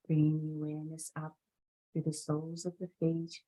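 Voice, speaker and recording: A woman leading a guided meditation as a yoga instructor, speaking in a calm, soothing, deliberate way with long pauses between phrases. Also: sits low, low-quality recording.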